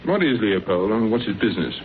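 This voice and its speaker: A man acting a shrewd, courteous British private detective in a radio drama, asking brisk, probing, measured questions. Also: fairly low pitch, big pitch swings. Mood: thoughtful. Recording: muffled narrowband old radio recording, faint noise.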